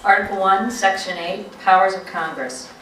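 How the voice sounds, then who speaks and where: A woman's voice: medium pitch, moderate pace, fairly bright tone. A woman serving as a guest reader, formally reading a legal text aloud. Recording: echoey room, distant, faint noise.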